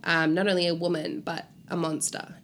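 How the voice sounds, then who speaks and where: A woman's voice: lowish voice, moderate pace, slight background noise. A woman speaking casually and informally as a guest author in an interview. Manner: animated, informal, frequent "like" and swearing.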